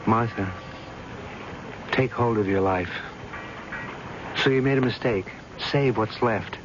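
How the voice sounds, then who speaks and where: An actor playing an ardent, protective secret lover in an old-fashioned radio drama, earnest and coaxing. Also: male voice, on the low side, takes it slowly. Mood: urgent. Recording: dull, noisy old radio broadcast recording.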